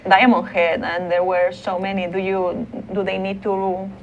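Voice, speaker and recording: A woman's voice: mid-range voice, flat delivery, a little slow, lo-fi sound, slight background noise. A woman hosting a TV interview show, asking questions with many fillers and restarts. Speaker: TV show host. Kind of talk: studio interview.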